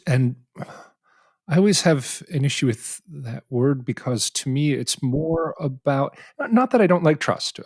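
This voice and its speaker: A man's voice: mid-range voice, breathy edge, no echo, studio quality. A man hosting a podcast interview, thinking aloud with frequent restarts and self-corrections. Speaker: podcast host. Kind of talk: conversational interview.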